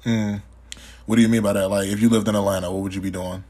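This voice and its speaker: A man's voice: fairly low pitch, little pitch movement, fast talker, dark tone. A young man hosting a podcast in casual chat, teasing his guests with profane banter and frequent laughter. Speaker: podcast host.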